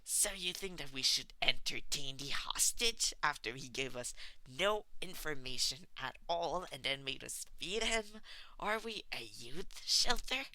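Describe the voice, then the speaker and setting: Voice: medium pitch, animated, measured pace, pushed and bright, no echo, full character voice, poor audio. A narrator voicing an irritable, sullen male villain gang leader who snaps and speaks sarcastically. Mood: exasperated, sarcastic. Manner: snappish, sarcastic, swearing.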